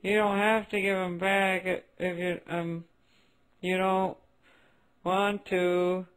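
Meek male cartoon sad sack: slow, hesitant, gloomy, forever apologetic. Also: high voice, level tone, a bit bright. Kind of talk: cartoon phone-message acting.

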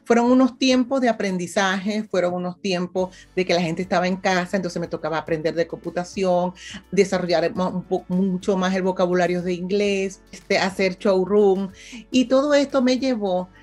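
Venezuelan lady, about fifty, chatty interview guest, keeps asking "ves?", soft music way back behind her. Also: fairly low pitch, moderate pace. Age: 50s. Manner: animated, often checks in with "¿ves?". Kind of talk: casual conversational interview.

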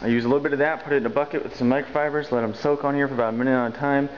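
A man's voice: medium pitch, fairly even intonation, racing, muffled recording, a little hiss or hum. A young man filming a casual vlog, joking and explaining car care to the camera on a handheld camera. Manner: upbeat, joking, talks to the camera. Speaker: vlogger. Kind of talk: casual road-trip vlog with detailing tips.

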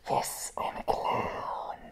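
Man playing a crazy whispering circus clown. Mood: unhinged, teasing. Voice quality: breathy unvoiced whisper. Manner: slow, halting, repeating himself. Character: crazy creepy male circus clown, giggling and menacing. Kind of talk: whispered ASMR roleplay.

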